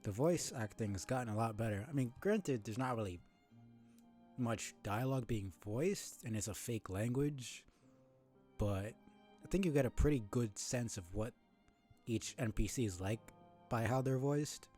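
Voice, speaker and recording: A man's voice: medium pitch, lively intonation, normal speed, warm tone, soft background music. A man giving casual game commentary in a musing way, thinking aloud as he goes. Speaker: game streamer.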